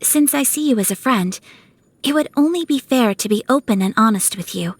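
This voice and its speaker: Dutiful young noblewoman, polite and measured, higher-pitched, gentle, video game dialogue, very dry sound. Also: animated, no echo. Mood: gentle, composed. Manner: formal, polite, measured phrasing. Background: music.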